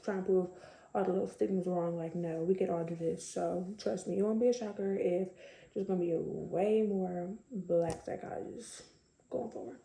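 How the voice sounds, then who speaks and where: A young woman with a slightly raspy voice, chatting casually to camera with lots of fillers. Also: on the low side, fairly even intonation, normal speed, warm tone, reverberant, poor audio. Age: young adult. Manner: chatty, conversational, many fillers. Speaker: vlogger.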